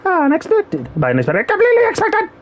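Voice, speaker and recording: A man doing an impression of a middle-aged cartoon mad scientist with a high, strained nasal voice. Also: wildly swooping pitch, fast talker, muffled recording, noisy background, heavily acted. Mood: villainous gloating.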